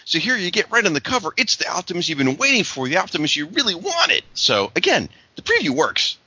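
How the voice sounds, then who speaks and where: A man talking as a podcast guest in a casual chat, loud and emphatic. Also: high-pitched, huge pitch swings, quick pace, husky, no room sound, highs cut off.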